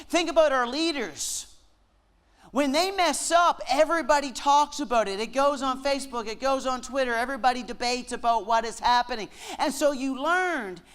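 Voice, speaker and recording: A Canadian man with a slight Southern twang, giving a joking sermon-style lecture with slow, deliberate emphasis on key words. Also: very high-pitched, fairly bright tone. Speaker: guest speaker at a Bible lectureship.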